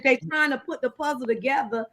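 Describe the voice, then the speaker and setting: A woman's voice: high-pitched, brisk, noisy background. A woman panelist talking casually and explaining things in street slang with some swearing. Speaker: talk show panelist.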